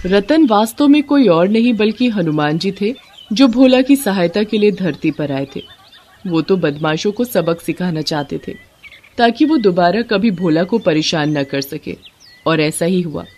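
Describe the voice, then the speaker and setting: A woman's voice: fairly low pitch, a little mellow, a little hiss or hum. A woman narrator reading a scripted bedtime moral story.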